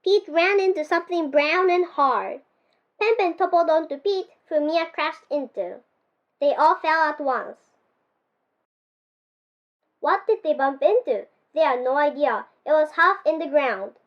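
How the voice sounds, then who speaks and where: A ten-year-old girl from Japan reading her own picture book aloud in an expressive storybook style. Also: high voice, a little slow, muffled recording. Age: child, 10 years old.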